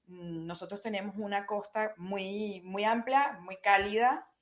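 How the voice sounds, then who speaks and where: A woman's voice: mid-range voice, measured pace. A young adult woman with a Venezuelan Spanish accent, speaking as a podcast guest, thoughtful and hesitant with frequent fillers and restarts. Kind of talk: conversational interview.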